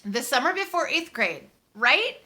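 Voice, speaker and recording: A woman reading a story aloud in the first person, conversational and expressive, leaning on key words for dramatic emphasis. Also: high-pitched, a little slow. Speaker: audiobook reader.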